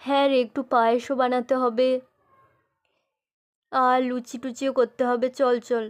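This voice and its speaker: A woman's voice: high-pitched, level tone, unhurried. A woman voicing a caring, bossy elder sister doll in a homely, chatty storytelling style. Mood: busy, caring. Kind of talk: doll-play storytelling dialogue.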